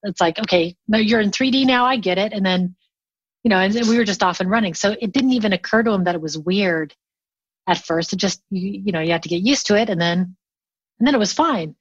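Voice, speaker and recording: A woman's voice: medium pitch, fairly quick, husky, no room sound, muffled recording. A woman chatting casually as an interview guest, telling things in an enthusiastic, anecdotal way. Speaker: remote collaboration workshop teacher.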